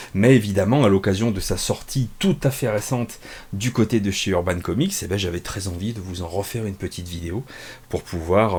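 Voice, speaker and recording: A man's voice: lowish voice, a little mellow, breathy edge. A man chatting casually and unscripted from his small home office, reviewing a comic, self-mocking, with many fillers and restarts.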